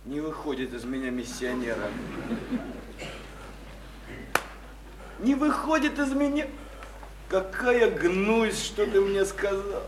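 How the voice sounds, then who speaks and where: An actor playing a bitter, wounded would-be writer in a radio play, ranting scornfully with stammered repetitions. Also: male voice, high voice, very animated, measured pace. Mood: bitter cursing. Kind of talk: theatrical radio play acting. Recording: roomy, faintly noisy 1991 radio play recording.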